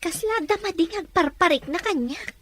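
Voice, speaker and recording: A woman acts out a nosy female friend in a drama serial. She has a high-pitched voice and speaks as someone curious for every detail. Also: lively intonation, moderate pace, fairly bright tone, no echo.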